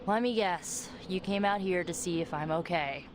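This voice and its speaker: A woman's voice: fairly low pitch, normal speed, noisy background, close to an everyday voice. An actress playing a defiant, confident young witch in a video game, impassioned and colloquial with a sarcastic edge. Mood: frustrated, hurt. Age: young adult. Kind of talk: dramatic video game acting.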